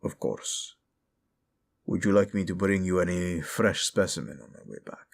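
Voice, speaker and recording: A man voicing a grim undead death knight servant in a fantasy audio roleplay, breathy and terse, with a sullen menace. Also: low voice, moderate pace, pitched below the performer's own voice. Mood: cold, obedient. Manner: terse, menacing, reluctantly obedient.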